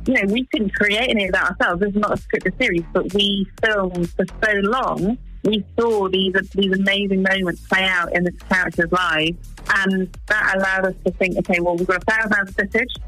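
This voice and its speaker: Woman, 40s, from East Africa, wildlife filmmaker, interview guest, earnest, restarts phrases, bright tone, faint music behind. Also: medium pitch, fairly even intonation, moderate pace, poor audio. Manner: earnest, searching for words with restarts.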